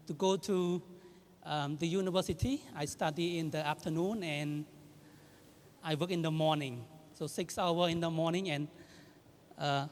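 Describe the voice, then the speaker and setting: A man in his thirties from Cambodia with a Khmer accent, giving a halting speech with fillers and self-corrections at an anniversary event. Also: high voice, a bit bright, a little hiss or hum. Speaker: guest speaker. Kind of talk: public speech. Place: large indoor event hall. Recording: reverberant event hall.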